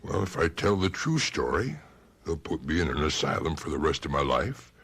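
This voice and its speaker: Earnest troubled husband, low gravelly voice. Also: male voice, sing-song, normal speed, fairly bright tone, heavily acted. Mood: troubled, resigned. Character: earnest husband recalling his lifelong love, troubled, insistent. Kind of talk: 1970s radio drama acting.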